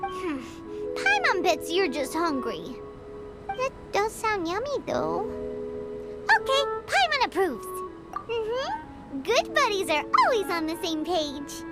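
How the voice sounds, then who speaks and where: A woman's voice: huge pitch swings, slow pace, heavily acted. A woman voicing a small, cheeky fairy companion in a video game, who bubbles along and talks about herself in the third person. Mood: cheerful. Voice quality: high, squeaky, childlike. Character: tiny floating fairy-like companion, chirpy and greedy. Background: game music.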